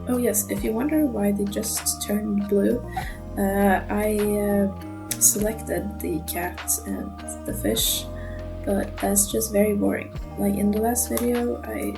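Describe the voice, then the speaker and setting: A young woman with a non-native English accent gives a casual, nervous voiceover over her drawing, speaking in a monotone and mumbling a little, with frequent small laughs. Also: medium pitch, slow, vocal fry. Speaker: art channel creator. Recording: music playing underneath.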